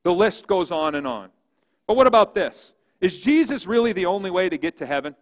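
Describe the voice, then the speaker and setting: A man preaching a sermon as pastor, with a big voice that swings from loud emphasis to quiet, hushed reading. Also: sits high, big pitch swings, a little fast, in a large hall. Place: church sanctuary.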